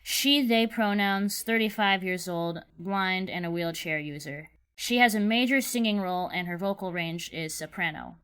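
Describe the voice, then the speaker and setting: A woman's voice: medium pitch, normal speed, few pauses, a bit bright, clear, resonant tone. A woman narrating scripted audition instructions and character descriptions, with precise, emphatic enunciation. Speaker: creator of an animated series giving a casting call.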